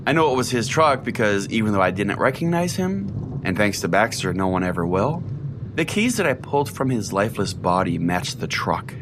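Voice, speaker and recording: A man's voice: fairly low pitch, big pitch swings, moderate pace, noisy background. A man playing a self-important, sardonic conspiracy-preaching pastor who rambles chattily as host of an audio-drama show. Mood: smug storytelling. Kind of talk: scripted audio-drama monologue as a talk show.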